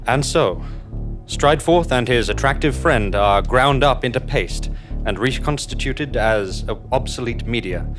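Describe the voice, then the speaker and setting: A man's voice: medium pitch, animated, unhurried. A man narrates and announces a live comedy audio drama. His delivery is scripted and tongue-in-cheek, with a mock-solemn air. Light music plays under his mid-range voice.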